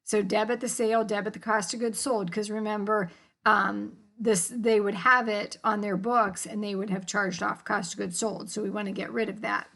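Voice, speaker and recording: A woman's voice: mid-range voice, little pitch movement, normal speed, creaky, a bit bright. An American woman giving an informal, explanatory lecture as an instructor, in colloquial language with casual check-ins. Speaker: accounting instructor.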